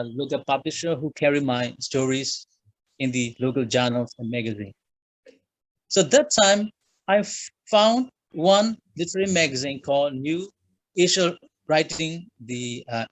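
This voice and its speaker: A man from Myanmar with a Burmese accent, a guest author answering interview questions in a halting way with many fillers. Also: a little high, no room sound, poor audio. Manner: halting, frequent uh fillers, simple phrasing.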